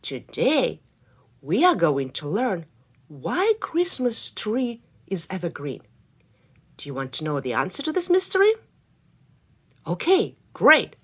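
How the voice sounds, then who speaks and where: Lively woman reading to children. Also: on the low side, slow pace, pauses often, breathy edge. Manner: animated and expressive. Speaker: storyteller. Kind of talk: reading a folk tale aloud for children.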